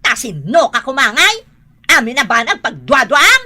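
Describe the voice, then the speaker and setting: A woman's voice: high-pitched, normal speed, noisy background. A woman playing a defensive, exasperated younger wife in a melodramatic radio drama. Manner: melodramatic, expressive.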